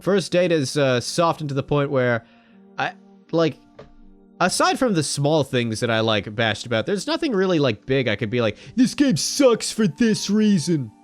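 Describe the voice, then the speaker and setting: A man's voice: mid-range voice, normal speed, soft background music. A man commentating a game playthrough, reading its text aloud and joking, with casual swearing. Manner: jokey, animated, swears casually. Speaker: game streamer.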